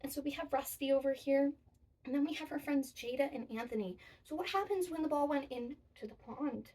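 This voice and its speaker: A woman with a fairly high voice leads a storytime for small children. She explains activities and reads aloud with sing-song emphasis. Her voice sounds slightly distant from the microphone. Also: brisk, a little mellow. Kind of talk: demonstrating a children's storytime activity and reading picture books.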